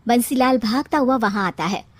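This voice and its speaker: A woman's voice: a little high, normal speed, no echo. A woman narrating a scripted cartoon family story in a storytelling style.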